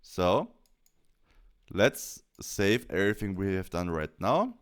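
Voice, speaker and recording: Clear-voiced man narrating a software tutorial. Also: low voice, very animated, slow pace. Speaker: tutorial presenter.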